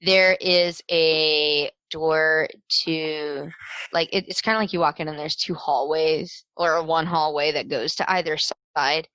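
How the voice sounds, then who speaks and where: A woman's voice: sits low, fairly even intonation, slow pace, fairly bright tone, no echo, low-quality recording. A woman narrating a tabletop game as game master in an informal, joking, conversational way.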